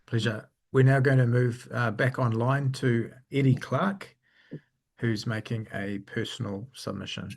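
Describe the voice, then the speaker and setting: A man chairing a parliamentary committee hearing, briskly moving between submitters. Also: medium pitch, steady tone, gravelly. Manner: brisk, procedural.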